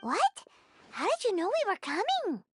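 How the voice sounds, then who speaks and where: A woman voicing a tiny, excitable childlike fairy companion in a video game, squeaky, perky and blunt. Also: normal speed, no room sound, exaggerated voice. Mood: indignant. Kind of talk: video game character acting. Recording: background music over game dialogue.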